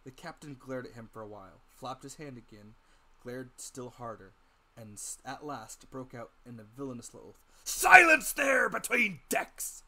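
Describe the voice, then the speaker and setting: A man narrating an audiobook as a dramatic reading, with deliberate, theatrical emphasis. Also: medium pitch, a bit bright, poor audio.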